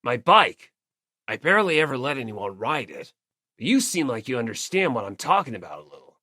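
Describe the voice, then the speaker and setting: A performer voicing a brash, gruff teenage male biker gang leader in an audiobook, blunt, proud and slangy. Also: medium pitch, sing-song, fairly quick, pushed and bright, no echo, studio quality. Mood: earnest, boastful. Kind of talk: audiobook character acting.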